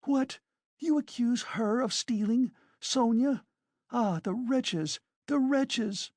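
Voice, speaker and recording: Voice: mid-range voice, sing-song, unhurried, a little mellow, dry recording, clean studio sound, pitched above the performer's own voice. A narrator voicing a frantic, furious, proud woman in a dramatised audiobook, shrieking and exclaiming. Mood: shocked outrage. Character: hysterical, furious, proud wasted woman defending a girl.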